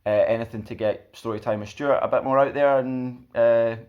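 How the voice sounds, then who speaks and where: A young man hosting a children's storytime, reading a picture book aloud in an expressive way. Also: mid-range voice, normal speed, highs cut off. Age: young adult. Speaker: storyteller, host.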